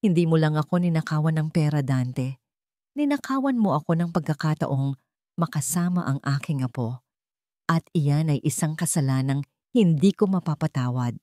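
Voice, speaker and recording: A woman voicing a calm but weighty old patriarch, a wounded grandfather in an audio drama story. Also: sits low, moderate pace, dry recording, clean studio sound. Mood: firm, wounded.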